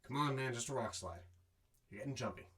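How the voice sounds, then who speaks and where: A man's voice: medium pitch, fast, very noisy, close to an everyday voice. A man playing a weary, wry desert courier who narrates his own adventure in an audio drama. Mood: wry, reflective. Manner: wry, self-talking. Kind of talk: first-person narration and amateur audio drama acting.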